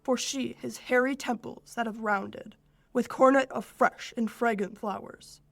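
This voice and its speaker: A young woman playing a proud fairy king, reciting Shakespearean verse in a measured, declamatory way for a radio play. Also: fairly high pitch, fairly even intonation, gravelly, no room sound. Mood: pitying, recounting. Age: teens or young adult.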